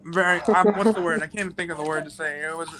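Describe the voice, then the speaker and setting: A man speaking as a guest in a casual review discussion, halting as he searches for words. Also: fairly high pitch, fairly even intonation, crowd noise.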